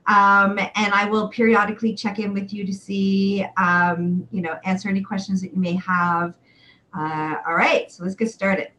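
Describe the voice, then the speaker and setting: A woman in her forties with a mid-pitched voice hosts a chatty livestream. She addresses viewers directly in an exuberant, theatrical manner. Key words get stretched out for emphasis. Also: flat delivery, normal speed, fairly bright tone, rough recording. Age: 40s. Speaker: livestream show host and sexual wellness coach. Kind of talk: chatty live webcast talk to viewers.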